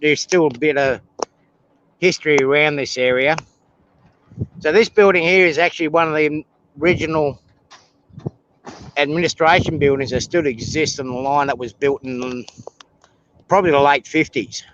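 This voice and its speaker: Man, higher-pitched voice, easygoing tour guide on a live phone stream, slightly dull sound with faint hiss. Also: moderate pace, pauses often. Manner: relaxed and chatty, explaining local rail history on a walk. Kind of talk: casual walking tour chat. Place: outdoors near a rail yard.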